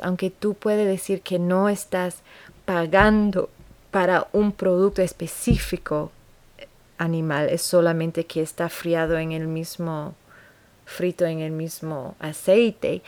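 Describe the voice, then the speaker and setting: Woman host, non-native Spanish speaker, slightly low voice, earnest and halting. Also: darkish tone. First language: not Spanish. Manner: earnest, restarts and searches for words. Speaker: podcast host. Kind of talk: solo podcast monologue.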